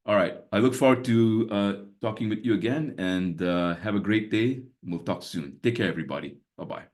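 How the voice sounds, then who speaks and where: A man's voice: fairly low pitch, a little fast, a little mellow. A man in his sixties who grew up in Singapore, hosting a webinar on a video call in a chatty way, full of fillers and small chuckles. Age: 60s. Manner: chatty, many fillers, chuckles between points. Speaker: webinar host and business mentor. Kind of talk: casual live webinar talk.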